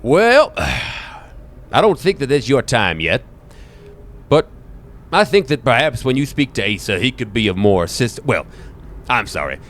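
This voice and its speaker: A man's voice: medium pitch, big pitch swings, a little slow, long pauses, a bit bright. A man voicing a genial, dotty old bookshop keeper in a tabletop roleplay, hesitant, apologetic and full of folksy exclamations. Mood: genial, cryptic.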